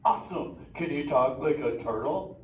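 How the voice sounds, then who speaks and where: Man voicing goofy surfer sea turtle, very animated, drawling slang, live show banter, distant noisy echoey theater recording. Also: on the high side, moderate pace, natural voice. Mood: friendly, playful. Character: laid-back surfer dude sea turtle, exuberant adult male. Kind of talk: live improvised theme park show banter.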